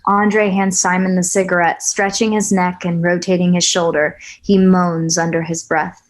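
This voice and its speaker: A woman reading screenplay scene directions aloud at a table read, in an even, matter-of-fact way. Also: fairly low pitch, moderate pace. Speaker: stage-direction reader.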